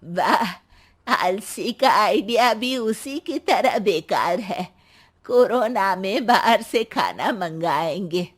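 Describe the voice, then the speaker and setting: A woman playing a proud, sharp-tongued, active elderly grandmother in a cartoon story, with a taunting, deliberate delivery. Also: mid-range voice, lively intonation, husky. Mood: sardonic, taunting. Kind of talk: Hindi cartoon story character acting.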